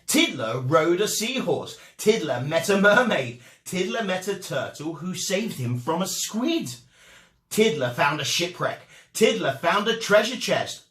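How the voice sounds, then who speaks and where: A man narrating a children's storybook in an expressive, deliberate and dramatic way as a storytime reader. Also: high voice, roomy sound.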